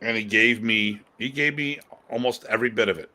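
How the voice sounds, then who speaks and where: A man co-hosting a podcast in casual conversation, talking in an animated, emphatic way with casual swearing. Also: mid-range voice, a little fast. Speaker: podcast co-host.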